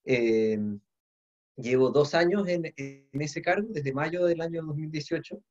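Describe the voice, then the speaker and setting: A man in his forties, originally from Paraguay, talking in a friendly, measured way with some fillers as a guest. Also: on the high side, darkish tone. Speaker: guest, government official. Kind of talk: casual video-call conversation. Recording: dull lo-fi call audio.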